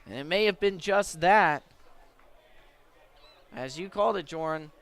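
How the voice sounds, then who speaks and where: High-pitched man calling baseball play-by-play. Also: unhurried, a bit bright, clear, resonant tone. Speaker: play-by-play announcer. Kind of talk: live radio baseball play-by-play. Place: at a baseball game.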